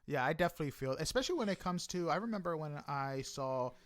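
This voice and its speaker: A man's voice: on the high side, expressive, quick pace, no room sound. A man with an American accent, interviewing in an informal, chatty and enthusiastic way. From United States. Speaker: podcast interviewer. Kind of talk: casual interview chat.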